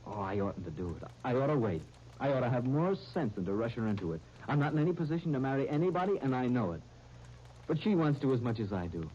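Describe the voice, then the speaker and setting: An old, noisy radio drama recording with a muffled top. A man acts an excitable, boyish young American with a lively pitch range, halting and restarting as he earnestly talks. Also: natural voice. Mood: elated, confiding. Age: young adult. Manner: halting, stammering over words. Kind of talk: 1930s radio drama acting.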